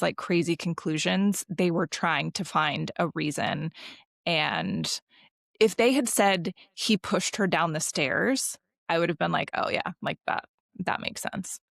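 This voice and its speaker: A woman co-hosting a podcast, chatting casually in a halting, slangy way full of likes and ums. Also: on the low side, gravelly, a bit bright, no room sound, clean studio sound. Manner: halting, filler-heavy, slangy conversational delivery. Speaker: podcast co-host.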